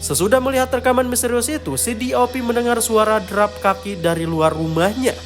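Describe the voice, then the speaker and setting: High-pitched male horror story narrator. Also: a little fast. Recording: music behind the voice. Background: clear background music.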